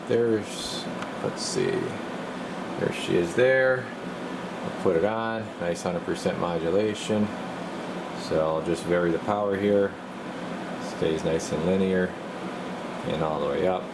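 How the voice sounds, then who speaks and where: Low-voiced man over loud background noise. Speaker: radio repair technician presenting his bench test. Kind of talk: casual off-the-cuff demonstration commentary. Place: indoor radio repair bench.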